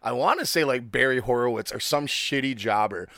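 A man's voice: mid-range voice, brisk, fairly bright tone, no room sound. A man in his thirties hosting a podcast, chatting casually, swearing freely and laughing often. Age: 30s. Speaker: podcast host. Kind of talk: casual chat.

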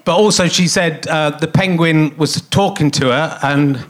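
A man's voice: a little high, measured pace. Rambling middle-aged man with a cold. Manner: rambling, self-mocking, with many fillers. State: snotty cold. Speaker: podcast host. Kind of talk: comic live podcast chat. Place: theatre stage before a live audience.

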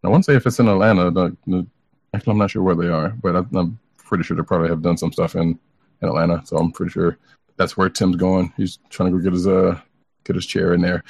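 A man's voice: low-pitched, little pitch movement, fast, gravelly, a little mellow, husky, dry recording. A man co-hosting a podcast, chatting casually about entertainment news in a tentative, hedging way. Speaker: podcast co-host. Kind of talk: casual comic book news chat.

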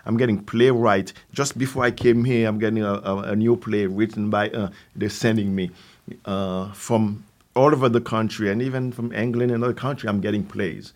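Low-voiced older Haitian man, halting delivery. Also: fairly even intonation, darkish tone. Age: 60s. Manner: halting, with repeated words and asides. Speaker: theater company founder, guest. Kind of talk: conversational interview answers.

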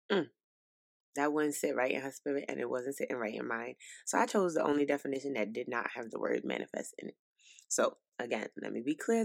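A woman's voice: deep voice, darkish tone, no room sound. A young woman hosting her own podcast, talking casually and directly to her listeners in an informal, chatty way. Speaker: podcast host. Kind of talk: casual solo podcast monologue.

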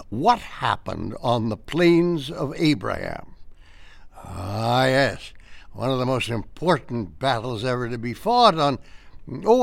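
A folksy old storyteller in an audio drama. His voice is creaky and slow, rising and falling in lively swoops, genial and easily flustered. A small crowd murmurs behind him. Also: medium pitch, dry recording, full character voice. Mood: musing. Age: older. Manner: genial, halting, old-fashioned exclamations.

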